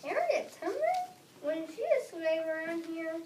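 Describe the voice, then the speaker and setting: A woman's voice: extremely high pitch, big pitch swings, measured pace, noisy background, heavily acted. A young girl reading the part of a tired, fearful enslaved farmhand in a school readers theater play. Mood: surprised, anxious. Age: child, about 8 to 9. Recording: echoey room, distant, audience murmur.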